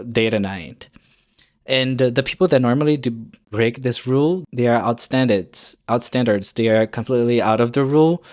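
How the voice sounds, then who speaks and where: Young guy vlogging, english is his second language, average pitch, earnest and encouraging, keeps restarting words. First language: not English. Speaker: YouTuber. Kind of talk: casual advice vlog to camera.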